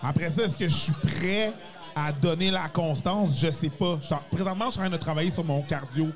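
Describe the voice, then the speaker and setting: Québécois guy chatting easy on a podcast, kinda high voice, lots of tu sais. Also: fairly quick, faint music behind, some chatter behind. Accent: Quebec French. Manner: laid-back, frequent filler "tu sais". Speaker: comedian guest. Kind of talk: casual podcast chat.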